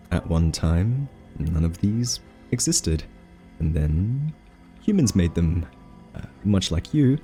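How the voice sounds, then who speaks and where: Low, soft-spoken, halting scientist played by a man. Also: full character voice. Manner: hesitant, halting, politely condescending. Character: quirky, gently patronizing male scientist coaxing a captive AI. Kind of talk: soft-spoken ASMR roleplay.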